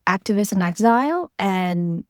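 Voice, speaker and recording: A woman's voice: medium pitch, animated, a little slow, darkish tone, no echo, clean studio sound. A young Vietnamese woman speaking English with a Vietnamese accent, telling her story as an interview guest in an earnest, reflective way, often adding "like". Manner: earnest, reflective, frequent "like" fillers. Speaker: guest, journalist and researcher.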